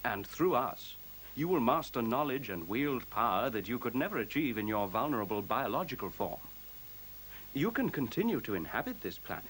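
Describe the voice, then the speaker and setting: A man playing a newborn machine intelligence in a science fiction radio play, speaking in measured, formal, pedantic sentences with cool, superior logic. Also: on the low side, a bit bright, rough recording. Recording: faint hiss, 1970s radio recording.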